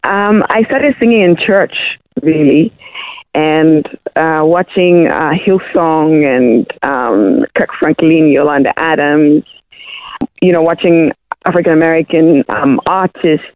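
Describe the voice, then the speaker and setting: A woman's voice: sits low, slow, no room sound. A woman from Zimbabwe, a singer-songwriter guest, answering interview questions with many hesitations. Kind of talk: casual interview. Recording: tinny phone-like line.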